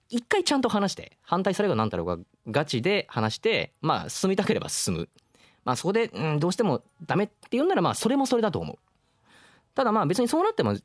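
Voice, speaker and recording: Guy on a radio chat show, talks fast, super animated, earnest n frank advice. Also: fairly high pitch, no room sound, clean studio sound. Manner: earnest, frank, slangy, explains with examples. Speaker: radio show host, voice actor.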